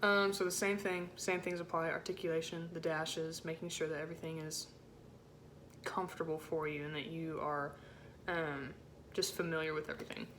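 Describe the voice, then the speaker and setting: A woman with a low-set voice giving an informal music rehearsal lesson as a choir section leader, in a casual, encouraging way with hesitant phrasing. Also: flat delivery, normal speed, fairly bright tone, slight background noise. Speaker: choir section leader teaching alto parts.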